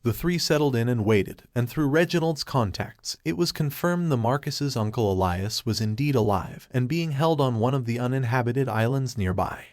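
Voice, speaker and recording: A man narrating a story in a steady, scripted way. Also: mid-range voice, normal speed, few pauses, dry recording, clean studio sound.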